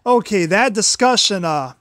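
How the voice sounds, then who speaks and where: A man hosting a casual livestream, his voice tired and strained, apologizing often, stumbling over repeated words and pushing his voice louder. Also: high voice, expressive, moderate pace, no room sound, studio quality. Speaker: livestream host. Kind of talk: casual wrestling commentary livestream. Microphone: built-in device mic, no external microphone.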